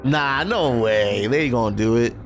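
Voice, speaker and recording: A young man giving casual, excited reaction commentary with slangy, profane exclamations into a close studio mic. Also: medium pitch, normal speed, faint music behind. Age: young adult. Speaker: movie reaction host. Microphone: close boom-arm studio microphone, headphones.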